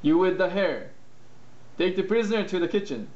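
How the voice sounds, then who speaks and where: A man's voice: high-pitched, expressive, normal speed, darkish tone, reverberant, dull audio. A man voicing a pompous carrot emperor in an amateur puppet show. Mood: commanding. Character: stern, bossy male carrot emperor.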